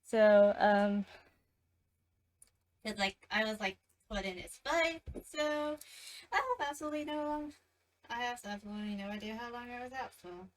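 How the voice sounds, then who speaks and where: Brief: female game streamer, slightly high voice, slow and halting with lots of ums, sitting back from the mic, game music under. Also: animated, far from the mic. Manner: halting, filler-heavy, flustered. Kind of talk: casual live-stream chat.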